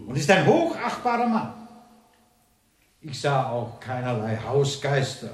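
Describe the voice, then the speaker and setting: In a roomy, echoing space, a well-fed village priest in a radio drama. His preaching is unctuous and self-satisfied, delivered slowly with big rises and falls in a fairly high male voice. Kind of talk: German radio play acting. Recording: roomy echo around the voice.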